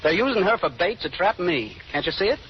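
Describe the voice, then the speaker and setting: A man's voice: sits high, sing-song, a little fast. A man playing a tough gangster boss in an old radio drama, talking in hard slang. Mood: suspicious. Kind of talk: 1930s-40s radio drama acting. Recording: muffled, noisy old radio recording.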